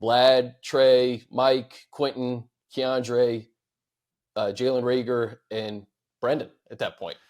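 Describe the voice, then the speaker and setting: A man's voice: medium pitch, flat delivery, slow, a little mellow. A man hosting a casual sports talk show, reading out fan questions and chatting about them.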